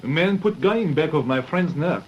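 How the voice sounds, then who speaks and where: A meek young foreign suspect in a detective radio drama. His accented English is hesitant and broken, in a raspy, fairly high voice. The recording is slightly dull. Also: lively intonation, moderate pace. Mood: timid, insistent. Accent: foreign, non-native English.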